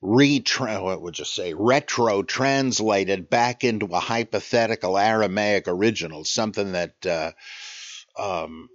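An older man hosting a podcast, reading listener questions and answering them in a musing, halting way, full of "uh"s and self-corrections. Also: lowish voice, no echo. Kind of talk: casual spoken Q&A on biblical scholarship. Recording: dull, lo-fi dry recording.

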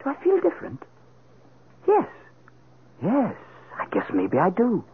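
An actor playing a brooding young male war veteran and former whaler, talking in an earnest, halting, stammering way in a radio drama. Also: high-pitched, huge pitch swings, breathy edge, heavily acted. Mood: dazed. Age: young adult. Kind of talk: 1940s radio acting. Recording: tinny noisy old radio recording.

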